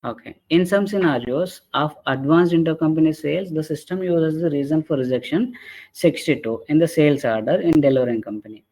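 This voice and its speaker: A man presenting an explanatory software training webinar in a halting way, often checking in with short tag questions. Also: fairly high pitch. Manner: halting, repeatedly checks with "right?" and "okay". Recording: dull lo-fi webinar audio.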